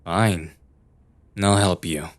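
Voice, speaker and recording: A man playing an aloof, eccentric young detective in an anime dub, with a deadpan, theatrical delivery and a weary air. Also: sits low, drawn-out words. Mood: curt, dry.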